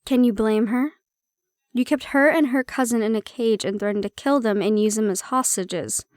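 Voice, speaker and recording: A woman playing a prickly but caring handler in an intimate audio roleplay, speaking in a measured, probing way. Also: fairly high pitch, a little mellow, no room sound, studio quality, close to an everyday voice. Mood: candid, wry. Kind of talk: intimate audio roleplay acting, one-sided conversation.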